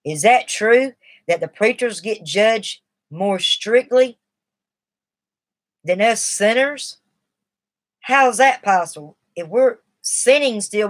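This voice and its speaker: A middle-aged woman, talking earnestly and at length on a live stream while shaky from nicotine withdrawal. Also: medium pitch. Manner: rambling, earnest and confiding. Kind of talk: casual live stream talk.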